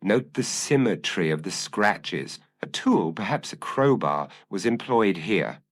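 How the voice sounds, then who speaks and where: A man playing a brilliant, impassive detective in a gothic audio mystery, calm, probing and precise. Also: fairly low pitch, unhurried, no echo. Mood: analytical.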